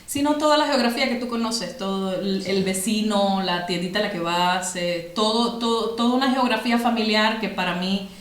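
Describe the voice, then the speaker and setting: A woman's voice: mid-range voice, moderate pace, hardly any pauses, echoey room. A woman with a Cuban accent, a podcast guest talking reflectively with many fillers and restarts. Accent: Cuban Spanish. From Cuba. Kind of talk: conversational interview.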